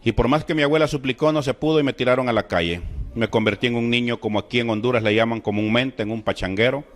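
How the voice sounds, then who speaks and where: A middle-aged man from El Salvador with a Salvadoran Spanish accent, slowly and solemnly telling his personal religious testimony. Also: medium pitch. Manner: slow, deliberate, solemn storytelling with emotional rises. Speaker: testimony speaker.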